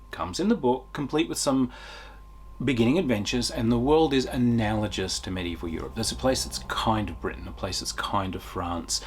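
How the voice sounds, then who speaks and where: A man giving an unscripted, enthusiastic game review in a conversational way, with emphatic stresses and a husky voice. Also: fairly low pitch. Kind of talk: unscripted review talk to viewers.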